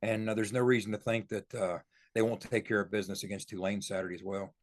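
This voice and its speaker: A man's voice: lowish voice, level tone, fast. A man giving casual football analysis as a podcast co-host, in a folksy, explanatory way.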